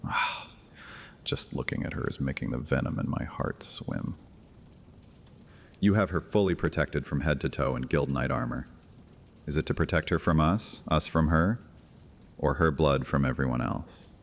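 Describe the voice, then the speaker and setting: An actor playing an ancient vampire high priest in an audio horror drama, grandiose, sardonic and taunting. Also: male voice, moderate pace, gravelly, long pauses, a little hiss or hum. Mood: menacing. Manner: theatrical, sardonic, taunting, with casual asides. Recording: long cavernous reverb.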